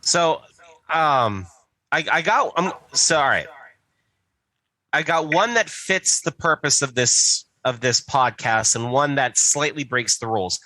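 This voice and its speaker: A man chatting casually as a podcast co-host, speaking in a halting, profane way. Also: medium pitch, lively intonation, sharp tone, dry recording, low-quality recording. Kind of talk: casual chat about movies.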